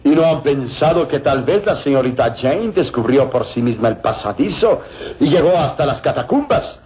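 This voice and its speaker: An actor playing a sly, courteous German villain in a radio serial, with a German accent and formal, theatrical delivery. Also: male voice, medium pitch, animated, dull audio, lightly acted. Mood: feigned concern. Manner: theatrical, formal, insistent, with dramatic pauses. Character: sly German villain, smoothly deceitful, courteous adult man. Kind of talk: vintage radio serial acting. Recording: band-limited radio recording, loud music behind.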